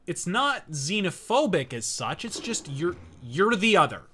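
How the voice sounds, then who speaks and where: A man's voice: high-pitched, sing-song, a little slow, fairly bright tone, slight background noise. A man hosting a live game stream, talking in a blunt, profane, opinionated way. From United States. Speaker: streamer host playing a game. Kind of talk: casual live-stream chat.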